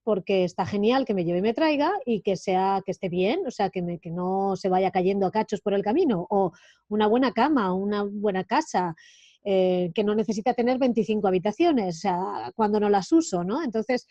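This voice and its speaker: Mellow-voiced woman host, dull audio. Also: medium pitch, normal speed, no echo. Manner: casual, agreeable, uses filler tags. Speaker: host, interviewer. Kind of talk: conversational interview.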